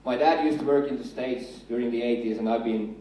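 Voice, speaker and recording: A man's voice: mid-range voice, fairly quick, warm tone. A man in his thirties with a Finnish accent, a native Finnish speaker, giving a chatty, self-deprecating conference talk with a handheld mic on a stage. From Finland. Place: large hall on a stage. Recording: echoey hall, far from the mic.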